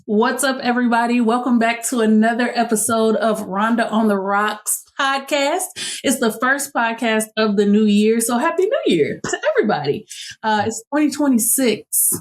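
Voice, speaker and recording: A woman in her late thirties from Chicago, hosting a podcast in a relaxed, chatty way and laughing easily. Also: a little high, normal speed. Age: 30s. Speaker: podcast host. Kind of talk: casual chat.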